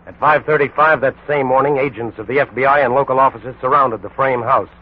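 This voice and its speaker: An American man narrating a 1940s radio crime drama in a solemn, dramatic way with emphatic pauses. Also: mid-range voice, steady tone. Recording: tinny 1940s radio recording, music behind. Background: music.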